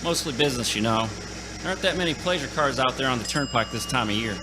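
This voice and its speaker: An actor playing a folksy, talkative country gas station attendant in a radio play, speaking colloquially. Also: male voice, medium pitch, lively intonation, quick pace, noisy background, close to an everyday voice. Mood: easygoing, folksy. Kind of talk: radio play character acting. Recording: stage radio play with music.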